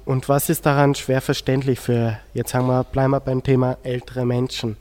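A man's voice: medium pitch, level tone, normal speed, a little mellow. A teenage male student with an Austrian accent, moderating a discussion on a school radio show.

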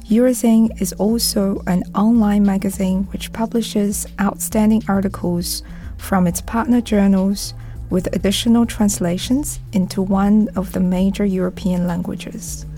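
A woman's voice: medium pitch, steady tone, measured pace, warm tone, faint music behind. A woman who coordinates a youth project, reading a prepared introduction for a radio program. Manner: reads a prepared script.

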